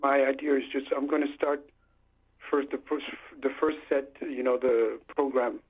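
A man's voice: medium pitch, steady tone, moderate pace, slightly rough. A man, a musician and bandleader whose first language is Lingala, talking casually and a little hesitantly on a phone-in radio show. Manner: hesitant, friendly, restarts often. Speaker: musician, band leader. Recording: tinny phone line.

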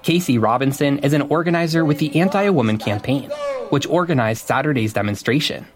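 A male radio reporter reading a scripted field report in a measured, even cadence. His voice sits at an ordinary middle pitch for a man, neither high nor low. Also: crowd noise. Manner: measured, even news delivery. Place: on location outdoors at a protest.

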